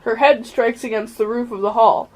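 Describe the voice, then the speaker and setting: A woman's voice: fairly high pitch, steady tone, moderate pace, darkish tone. A female narrator reading scripted storybook narration for an amateur radio play. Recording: faintly noisy amateur recording.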